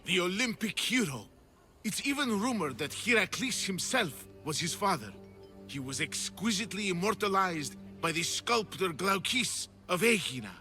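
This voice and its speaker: A man's voice: high voice, big pitch swings, normal speed, pushed and bright, soft background music, exaggerated voice. A man playing an anxious, effusive and fussy hunted sculptor in a dramatic video game. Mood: eager, explanatory. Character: anxious, excitable hunted male Greek sculptor, theatrical and scholarly.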